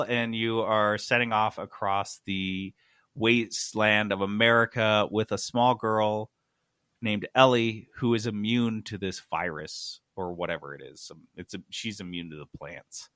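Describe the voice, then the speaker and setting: A man's voice: on the low side, steady tone, unhurried, fairly bright tone, clear, resonant tone, dry recording, clean studio sound. A man hosting a gaming podcast, explaining news casually in an emphatic, sarcastic, sweary way. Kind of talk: casual comic explaining of game industry news.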